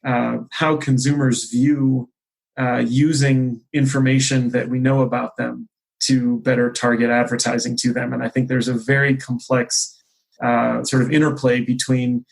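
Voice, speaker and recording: Guy guest on a biz podcast, mid pitch, gravelly, explains stuff in a measured way. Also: flat delivery, low-quality recording. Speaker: podcast guest, marketing executive. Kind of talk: conversational business discussion.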